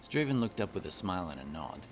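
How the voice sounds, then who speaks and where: A man's voice: low-pitched, very animated, quick pace, faint music behind. A man giving scripted narration of a fantasy story as its narrator.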